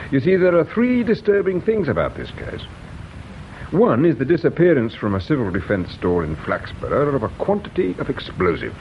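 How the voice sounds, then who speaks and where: An actor playing a courteous, probing English police inspector in a radio mystery drama, measured and dryly insistent. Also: male voice. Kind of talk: British radio drama acting. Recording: dull radio recording with low rumble noise.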